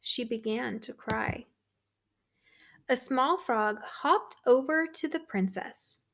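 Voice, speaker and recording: Female storybook reader for young children, high voice, very measured pace, expressive delivery. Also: creaky. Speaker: storybook reader for third graders. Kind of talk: read-aloud storytelling for children.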